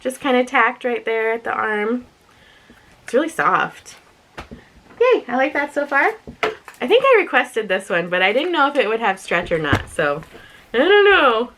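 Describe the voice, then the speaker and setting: A woman with a slightly raspy voice, chatting casually and thinking aloud as she presents an unboxing video with giggly asides. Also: a little high, very animated, moderate pace, long pauses, fairly bright tone, slight background noise. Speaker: unboxing and review vlogger.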